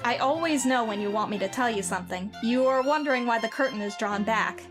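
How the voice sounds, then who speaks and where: A woman's voice: a little high, brisk, music in the background, close to an everyday voice. A woman voicing a young boy recovering from illness, earnest and then overjoyed, in an amateur audio drama. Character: sickly boy recovering, eager and wondering.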